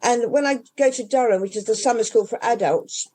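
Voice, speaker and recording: Older english lady bookseller, warm mellow tone, keeps restarting and wandering off on asides. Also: fairly high pitch, fairly quick, slight background noise. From England. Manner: rambling, with many restarts and trailing asides. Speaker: independent bookshop owner. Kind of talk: casual interview chat.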